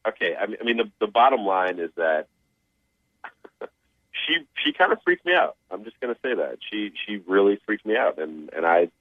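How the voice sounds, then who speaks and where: Low-voiced man on a tinny phone. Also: expressive, normal speed, no room sound. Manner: reluctant, awkward, hesitant. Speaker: called phone guest. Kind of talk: casual phone conversation. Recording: tinny telephone line.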